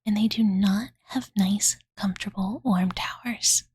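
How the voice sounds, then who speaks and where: Woman acting as young dragon girl, cutesy and devoted, very breathy whisper, close dry mic, soothing ASMR bedtime roleplay, valley-girl fillers. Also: medium pitch, steady tone, unhurried, a little mellow, dry recording. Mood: cozy, musing. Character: cute devoted young dragon girl telling her master a bedtime story. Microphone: close-up studio condenser microphone, dry.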